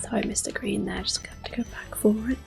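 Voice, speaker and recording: A woman giving soft-spoken ASMR gameplay commentary as host, often slipping into a breathy whisper, in a gentle, unhurried way. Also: mid-range voice, fairly even intonation. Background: soft video game music.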